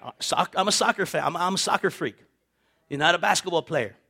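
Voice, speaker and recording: A man's voice: sits high, brisk, vocal fry. A man in his fifties preaching, telling personal stories with repetitions, laughter and acted-out voices of others. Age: 50s. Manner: animated, repeats words, laughs often, acts out quoted voices. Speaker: pastor. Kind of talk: sermon with personal storytelling.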